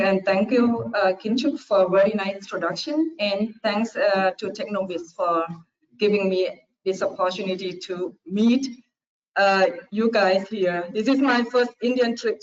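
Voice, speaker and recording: A middle-aged woman from Thailand with a Thai accent, giving a relaxed, friendly conference presentation in short phrases. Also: medium pitch. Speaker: conference speaker. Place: conference hall. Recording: dull, muffled conference recording.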